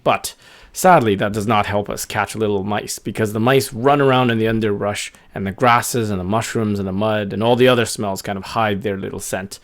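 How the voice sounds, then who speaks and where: A man voicing a big, gentle, friendly male wolf in a children's story, amiable and thoughtful in his pauses. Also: on the low side, fairly even intonation, natural voice. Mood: helpful. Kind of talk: improvised children's story character voice.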